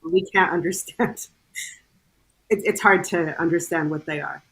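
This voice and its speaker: A woman guest on a casual podcast, explaining things in a measured way. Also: on the low side, breathy edge, rough recording. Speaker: guest, hardware audit committee member.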